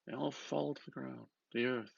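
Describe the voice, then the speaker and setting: A man gives an expressive, theatrical reading of a literary novel, moving between murmured asides and louder phrases. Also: medium pitch, normal speed, gravelly, husky, no room sound, dull audio. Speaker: reader of a novel.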